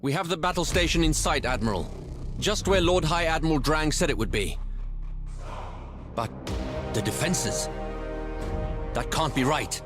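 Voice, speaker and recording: Wary, urgent naval commodore. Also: male voice, fairly high pitch, expressive, measured pace, long pauses. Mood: alarmed. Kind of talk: video game cutscene acting. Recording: music behind the voice.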